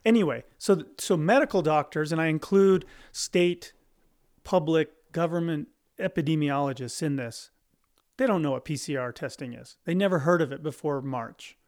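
A man's voice: a little high, moderate pace, a little mellow. A man co-hosting a podcast in casual discussion, talking emphatically and often repeating and restarting his phrases. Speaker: podcast co-host.

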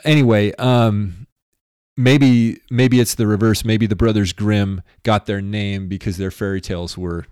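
A man with a somewhat low voice co-hosting a podcast. He muses sardonically, often stopping on fillers, and his voice sounds dry and close. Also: steady tone, a little mellow, smooth, dry recording. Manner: sardonic, musing, halting with fillers. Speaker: podcast co-host. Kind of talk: casual podcast banter.

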